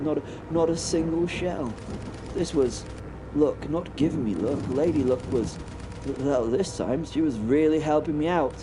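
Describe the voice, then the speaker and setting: A man's voice: mid-range voice, background noise, close to an everyday voice. A man voicing a brash, cocky WW1 pilot, telling his tale wryly and breathlessly, with stammered restarts. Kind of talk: audio drama first-person narration.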